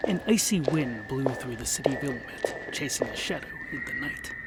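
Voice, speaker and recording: A man narrating a comic fantasy tale as the show's host, theatrical, dropping to hushed whispers and rising to sudden bursts. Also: medium pitch, lively intonation, moderate pace, heavy background noise. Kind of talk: scripted audiobook narration.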